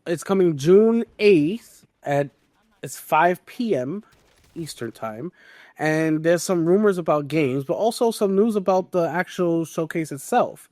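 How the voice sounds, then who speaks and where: A man's voice: on the high side, animated, a little slow, darkish tone, no echo. A man hosting a podcast and steering the topics in casual gaming news chat.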